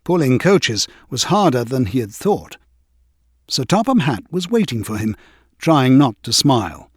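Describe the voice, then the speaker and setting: A man narrating a children's storybook in an expressive, measured way. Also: medium pitch, breathy edge, no room sound, clean studio sound. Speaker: audiobook narrator.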